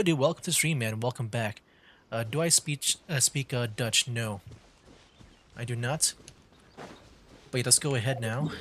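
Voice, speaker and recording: A man's voice: mid-range voice, fairly bright tone, a little hiss or hum. A man giving informal, meandering commentary on a gaming livestream. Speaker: streamer. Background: faint game music.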